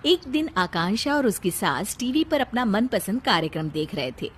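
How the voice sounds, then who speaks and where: A woman's voice: medium pitch, big pitch swings, normal speed, a little hiss or hum. A woman narrating a scripted cartoon family story in the role of storyteller.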